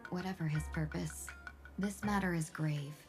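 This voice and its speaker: A woman voicing a polite, dutiful young female envoy in a video game, measured and formal. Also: lowish voice, steady tone, natural voice. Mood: thoughtful, grave. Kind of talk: dramatic video game voice acting. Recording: music behind the voice.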